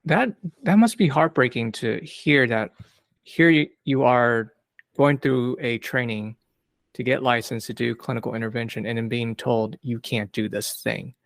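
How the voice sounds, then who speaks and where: A man's voice: mid-range voice, unhurried, vocal fry, no echo. A man hosting an interview podcast, asking open questions and reflecting supportively on the guest's story. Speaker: podcast host interviewing a psychologist. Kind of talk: interview conversation.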